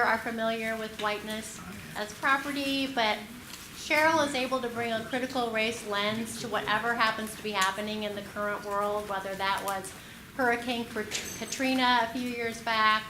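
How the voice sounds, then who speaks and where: A woman introducing a keynote speaker at a conference, admiring and with frequent filler words. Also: on the high side. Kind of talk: formal introduction. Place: conference room. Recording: roomy hall with clear noise.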